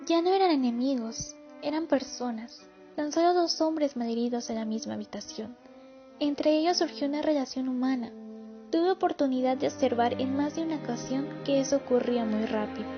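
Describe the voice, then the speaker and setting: A woman's voice: high voice, moderate pace, natural voice. An actress playing a female wartime hospital worker recalling her wounded patients in a radio drama. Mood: earnest recollection. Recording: muffled lo-fi audio under music.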